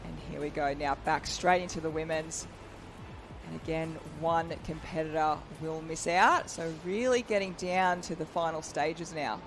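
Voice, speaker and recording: A man's voice: a little high, moderate pace, fairly bright tone, faint music behind. A man giving expert colour commentary at a live sports event, enthusiastic and explaining technique in a chatty way.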